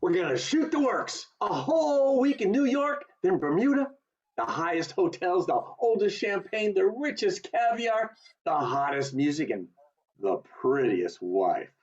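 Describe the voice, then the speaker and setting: A man's voice: high-pitched, very animated, a little slow, a little mellow. A man acting an earnest, stubborn young small-town man in an amateur radio play, with stammering, emphatic delivery over a video call. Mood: eager. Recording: muffled video call audio.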